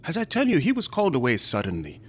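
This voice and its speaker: Theatrical, sinister museum assistant, swooping delivery. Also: male voice, on the high side, huge pitch swings, fast talker, husky, exaggerated voice. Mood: smug, secretive. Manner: courteous, insinuating, theatrical, lapses into whispers. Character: sinister, smug, unctuous museum assistant guarding macabre exhibits. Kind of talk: horror radio drama acting.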